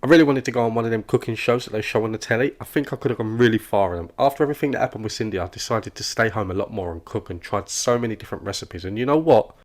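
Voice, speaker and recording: An actor playing an oblivious, relentlessly chatty office worker in an animated comedy, with a rambling, oversharing manner. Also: male voice, fairly low pitch, very fast, hardly any pauses, natural voice. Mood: cheerful boasting. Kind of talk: comic animated dialogue.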